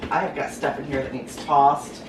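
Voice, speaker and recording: A hoarse woman with a cold, chatting in a rambling, folksy way with lots of ums in her home kitchen. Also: sits low, level tone, moderate pace, music in the background. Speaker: cooking vlogger. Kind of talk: casual chat to camera. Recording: noisy home recording.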